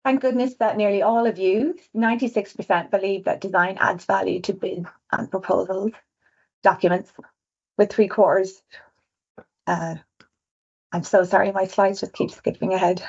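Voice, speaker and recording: Fast-talking Irish woman on a webinar. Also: medium pitch, fairly even intonation, a little mellow, slightly rough. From Ireland. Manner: fast-talking, chatty, self-aware. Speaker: webinar presenter, visual designer. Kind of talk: presenting survey results on a webinar. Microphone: video call. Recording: dull lo-fi webinar audio.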